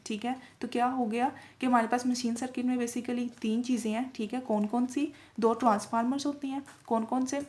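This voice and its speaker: High-voiced woman giving a lecture. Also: darkish tone. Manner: repetitive, with frequent check-in tags; switches between Urdu-Hindi and English technical terms. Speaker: lecturer in physiotherapy video lessons. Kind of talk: informal explanatory lecture.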